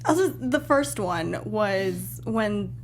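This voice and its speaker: A woman with a mid-range voice co-hosts a movie podcast. She chats casually, with clear chatter of other people behind her. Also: medium pitch, lively intonation, slow pace. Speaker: podcast co-host. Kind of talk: casual chat.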